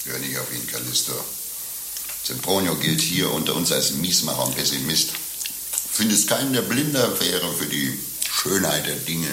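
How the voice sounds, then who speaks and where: An actor playing an easygoing, teasing friend in a German radio play, speaking with wry, light mockery. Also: male voice, a bass voice, expressive, moderate pace, sharp tone, roomy sound, a little hiss or hum, heavily acted.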